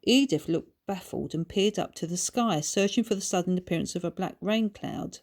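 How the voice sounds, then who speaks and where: Female author-narrator for a children's book, slightly low voice, measured scripted storytelling. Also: darkish tone.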